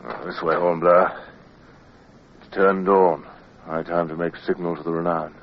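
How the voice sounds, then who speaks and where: An actor playing a gruff, honest British naval lieutenant in an old radio drama, hearty in command and halting in reflection. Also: male voice, low voice, level tone. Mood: brisk, satisfied. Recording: noisy, narrow-band old radio recording.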